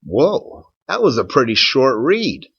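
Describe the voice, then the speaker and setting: A man who is a schoolteacher doing a read-aloud for children and talking to pupils in a measured, simple way. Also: mid-range voice, sing-song, creaky, fairly bright tone, slightly rough, highs cut off.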